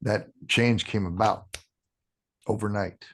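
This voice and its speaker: A man in his sixties, a podcast guest talking casually in a halting way, restarting sentences with ums. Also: on the low side, fairly even intonation, a bit bright. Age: 60s. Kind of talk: casual interview talk.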